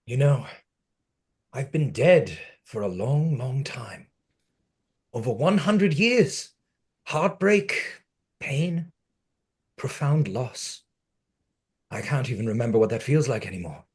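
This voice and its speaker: Man playing a slow, husky, melancholy undead gentleman. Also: mid-range voice, expressive. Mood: sorrowful. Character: melancholy, dry-witted dead man over a century old. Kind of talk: screenplay table read.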